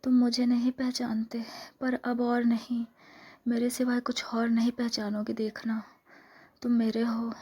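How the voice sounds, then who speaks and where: Seductive lovestruck female vampire , played by a woman for romantic ASMR role-play: higher-pitched yet mellow, soft, half-whispered, intimate and possessive. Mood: confessional, yearning, then possessive, menacing. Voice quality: soft, breathy, often whispered. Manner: intimate, slow, coaxing, repeats phrases.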